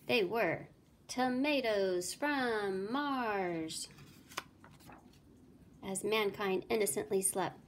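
A woman giving an expressive read-aloud of a children's storybook, like a teacher, with clear background noise. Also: medium pitch.

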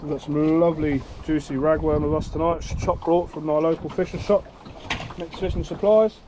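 A fairly high-voiced man chats to his viewers while fishing from a small open boat at sea at night, calling them guys and narrating each catch. A camera on the boat picks him up, and heavy wind roars across the mic the whole time. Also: steady tone, fairly quick. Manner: chatty, addresses viewers as guys. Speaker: fishing vlogger. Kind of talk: casual on-the-spot fishing commentary. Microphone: action camera worn or mounted on the boat. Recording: loud wind noise on an outdoor camera mic.

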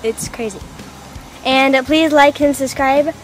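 A woman's voice: high voice, unhurried, soft background music, rough recording. A teenage girl filming a casual outfit vlog outdoors in a suburban yard, chatty and gushing, recorded on a phone camera set on a mailbox. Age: teens. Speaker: fashion vlogger.

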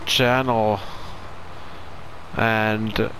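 A man's voice: lowish voice, flat delivery, drawn-out words, bright, forceful tone, a little hiss or hum, poor audio. A man with a Finnish accent, a non-native English speaker, doing casual commentary over farming gameplay and searching for his words. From Finland. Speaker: gaming channel host.

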